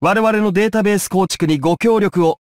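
Guy doing slick polite anime game schemer, high voice, dry recording. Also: brisk, no room sound, natural voice. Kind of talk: Japanese anime fighting game acting.

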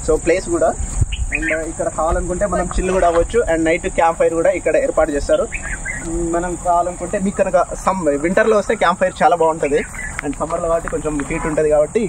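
A young man vlogging a campsite visit, chatty and brisk as he points things out to viewers, filmed on a handheld phone outdoors. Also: a little high, fairly even intonation, background noise. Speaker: travel vlogger. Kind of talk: casual vlog narration. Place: outdoors at a campsite at dusk and night.

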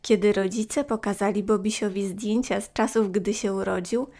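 A woman narrating a scripted story for young children in a gentle, expressive, clearly phrased way. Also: medium pitch, normal speed.

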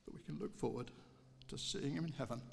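Gravelly Englishman in an echoey church. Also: mid-range voice, animated, quick pace, slight background noise. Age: middle-aged. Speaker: speaker giving a funeral tribute. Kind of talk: read eulogy. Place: church, speaking at a lectern. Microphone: microphone on a stand. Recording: echoey church hall, long reverb.

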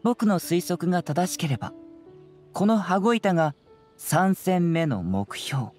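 A woman voicing a calm, clever young detective boy in an anime game, easygoing and confident. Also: on the low side, takes it slowly, soft background music. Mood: confident, reasoning. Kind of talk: Japanese anime game voice acting.

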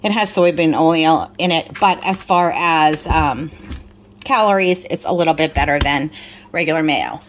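A woman's voice: deep voice, unhurried, slight background noise. A middle-aged woman vlogger, tired, chatting casually through a grocery haul with lots of fillers. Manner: rambling, filler-heavy, apologetic.

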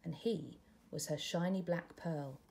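A woman, a teacher reading a picture book aloud at home with expressive, gentle storytelling. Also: low voice, unhurried, gravelly, slight background noise. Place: living room at home, indoors.

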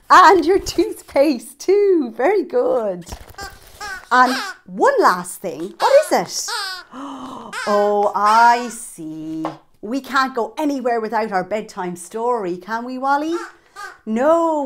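A woman in her thirties presents children's storytime in an animated, exaggerated, sing-song way aimed at young children. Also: on the high side, slight background noise. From Ireland. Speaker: children's storytime host and entertainer. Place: small indoor set with fabric backdrop.

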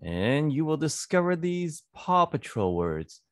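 A man in his thirties giving a read-aloud English phonics lesson for children, deliberate and repetitive, close to a desk microphone in a small room. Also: on the high side, big pitch swings, dry recording. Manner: deliberate, repeats words and sentences. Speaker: English reading teacher for children. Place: small indoor home studio. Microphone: close desk microphone on boom arm.

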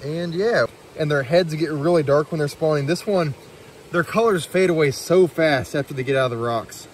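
A man's voice: a little high, moderate pace. A young man with a slightly raised voice narrates his catch by a mountain creek, chatty and full of enthusiasm. He is recorded on a body-worn action camera, with faint outdoor noise and running water behind him. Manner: enthusiastic, chatty, filler-laden. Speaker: fishing vlogger.

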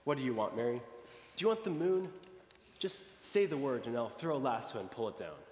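A man's voice: mid-range voice, expressive, brisk, a little mellow, a little hiss or hum, natural voice. A man playing an eager, dreamy, boyish young small-town man who stammers, in a 1940s radio play. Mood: dreamy, excited. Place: large church stage before an audience. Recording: echoey hall from a stage.

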